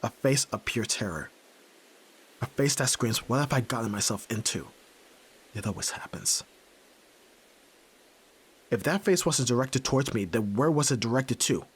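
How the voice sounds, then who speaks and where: A man's voice: medium pitch, lively intonation, normal speed, pauses often, breathy edge. A young man playing a brusque, tormented nerd who is a reluctant yakuza heir, in a dramatic audio roleplay, speaking huskily and curtly. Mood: bitter, agitated. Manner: brooding, curt, pushing the listener away.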